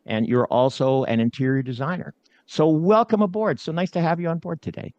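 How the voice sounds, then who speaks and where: A man's voice: fairly high pitch, brisk, darkish tone, no echo. A middle-aged man hosting a podcast interview, chatty and self-deprecating, prone to interrupting. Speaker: podcast host. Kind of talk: casual interview chat. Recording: dull, slightly lo-fi sound.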